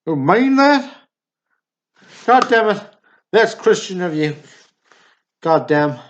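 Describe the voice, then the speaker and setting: A man playing an excitable, needy, sentimental small-town in a stage drama, with a pleading, emphatic manner. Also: high-pitched, slow pace, dull audio, slight background noise, full character voice. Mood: pleading, effusive.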